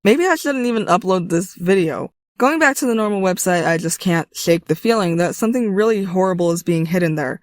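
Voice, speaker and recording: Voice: mid-range voice, lively intonation, fairly quick, hardly any pauses, dry recording, studio quality. A video creator narrating a scripted video essay.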